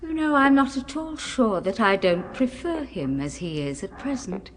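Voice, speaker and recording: Reverberant noisy 1970s radio drama, actress playing doting British wife, genteel, unhurried, swooping intonation, quietly possessive. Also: female voice, medium pitch, big pitch swings, natural voice. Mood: musing, wondering. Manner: genteel, deliberate, cooing endearments. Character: softly doting, quietly possessive wife of middle age or older.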